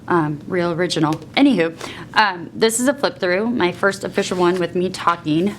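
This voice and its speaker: A woman's voice: on the low side, moderate pace, poor audio. A young adult woman teacher with a stuffed-up nose from a cold, chatting casually with many fillers as she flips through her journal at home. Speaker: teacher and bullet journal vlogger. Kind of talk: casual flip-through narration. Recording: faint background noise.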